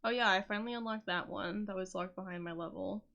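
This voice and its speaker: A woman's voice: mid-range voice, fast talker, a bit bright, muffled recording. Young woman casually streaming a game. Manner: casual, rambling, talks to chat, frequent fillers. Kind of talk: casual gaming stream chat.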